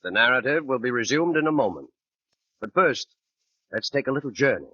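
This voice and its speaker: A man narrating a radio thriller in a solemn, deliberate, ominous 1940s style. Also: mid-range voice, animated, slight background noise. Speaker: program narrator and announcer. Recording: dull 1940s radio recording, music behind.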